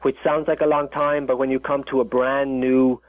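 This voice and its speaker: A man's voice: mid-range voice, little pitch movement, moderate pace, no echo. A man with an Irish accent, a chef talking as a guest in a casual interview, rambling with many restarts and fillers. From Ireland. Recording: tinny phone line.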